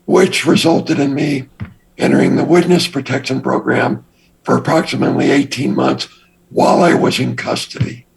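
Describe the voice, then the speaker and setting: An older man with a raspy voice, a guest telling his past story in a measured, deliberate way. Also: mid-range voice, steady tone, poor audio. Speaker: interview guest. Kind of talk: recounting his past in an interview.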